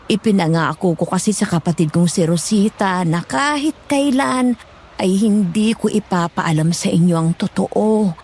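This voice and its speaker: A woman's voice: on the low side, darkish tone, a little hiss or hum. A woman playing a remorseful, fearful middle-aged aunt in a dramatized horror story, apologetic and pleading. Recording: music bed underneath.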